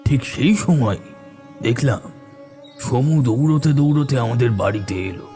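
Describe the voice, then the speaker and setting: A man narrating a scripted first-person story in a deliberate, suspenseful way. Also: medium pitch, mellow, faint music behind.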